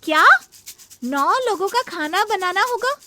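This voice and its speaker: A woman's voice: extremely high pitch, lively intonation, unhurried, sharp tone, dry recording, exaggerated voice. A woman voicing a lazy, sulky young daughter-in-law in a cartoon story, whiny and grumbling. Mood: outraged.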